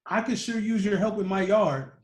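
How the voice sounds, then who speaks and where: A man's voice: high voice, moderate pace, pitched above the performer's own voice, close to an everyday voice. A man voicing a friendly, helpful neighbor who collects junk, in a storybook, with an encouraging manner. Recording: roomy echo.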